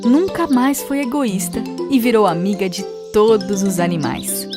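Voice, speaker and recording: A woman's voice: medium pitch, unhurried. A woman reading scripted children's fables as a storyteller narrator. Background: music.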